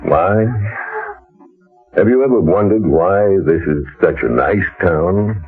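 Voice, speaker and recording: An actor playing a solemn, chilling older town patriarch in an old-time radio drama, measured and formal in delivery. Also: male voice, sits low, lively intonation, breathy edge, heavily acted. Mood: solemn, insistent. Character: solemn, chilling town elder, father justifying ritual sacrifice. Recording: muffled vintage radio recording.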